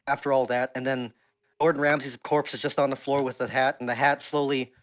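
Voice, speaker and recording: Man, tabletop player, joking, mid-pitched, storytelling. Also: flat delivery, a little fast, slight background noise. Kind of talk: casual tabletop game chat.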